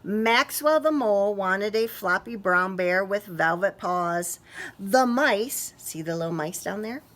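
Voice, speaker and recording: A woman reading a picture book aloud to young children expressively, pausing to ask them questions. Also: medium pitch, animated, a bit bright. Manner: talks to young listeners, asking questions. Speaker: children's story reader.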